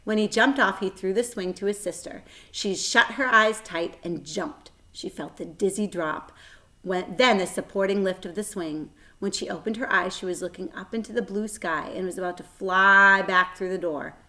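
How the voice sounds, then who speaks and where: A woman reading a children's book aloud as a storyteller, expressive, with dramatic emphasis and shifting volume. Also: medium pitch, moderate pace.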